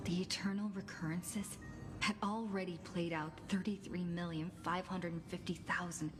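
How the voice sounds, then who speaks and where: A woman playing a solemn young game heroine, narrating her quest in a measured, grave way over music. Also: lowish voice, steady tone, close to an everyday voice. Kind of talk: scripted video game story narration. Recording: music under the voice.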